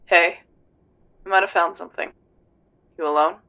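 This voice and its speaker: A man's voice: high-pitched, steady tone, normal speed, gravelly. A man playing a tough, serious police investigator on a phone call in a roleplay audio drama, terse and grave. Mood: guarded. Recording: dull lo-fi phone-call sound.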